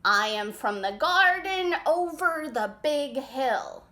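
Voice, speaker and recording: A woman voicing a gentle, lost garden snail in a picture book, speaking in a very slow, drawn-out way. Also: high-pitched, animated, heavily acted. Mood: slow, forlorn. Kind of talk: picture book read-aloud.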